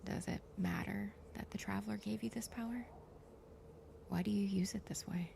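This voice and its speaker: A woman voicing an eerie, cryptic presence in an audio drama, in a creaky whisper. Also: mid-range voice, fairly even intonation, normal speed. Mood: cryptic, probing. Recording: distant voice in noisy ambience.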